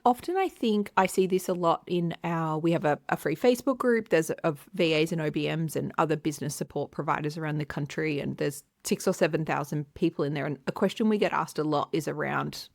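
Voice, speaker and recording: Podcast host, woman in her forties, deep creaky voice, easy and laughing. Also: a little fast, few pauses, dry recording, clean studio sound. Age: 40s. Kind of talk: casual interview chat.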